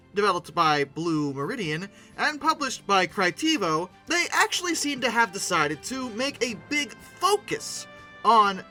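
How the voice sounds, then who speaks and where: Animated, bright high-pitched man. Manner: animated, playful, theatrical emphasis. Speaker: video host, game reviewer. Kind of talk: conversational video essay commentary.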